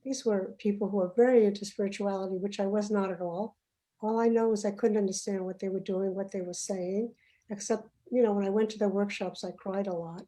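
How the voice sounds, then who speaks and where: Mellow-voiced woman hosting a video panel. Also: mid-range voice, fairly even intonation, a little fast, low-quality recording. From Uganda. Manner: chatty, trailing off and restarting sentences. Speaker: panel host. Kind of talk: casual online panel talk. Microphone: video call.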